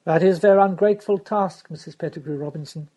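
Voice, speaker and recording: A steady, polite police detective who answers plainly and without fuss, as a woman reads him. The voice is lowish and husky, close to the reader's own. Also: brisk.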